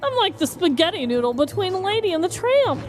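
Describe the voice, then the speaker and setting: A woman's voice: extremely high pitch, big pitch swings, fairly quick, faint music behind. A woman playing a goofy, childlike male police deputy who gushes in baby talk in a comic story. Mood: playful.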